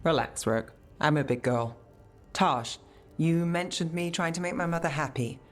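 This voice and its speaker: A woman's voice: sits low, normal speed, faint music behind, natural voice. A woman voicing a self-assured young mage who answers in a cool, pointed way in a game cutscene. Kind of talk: video game cutscene dialogue.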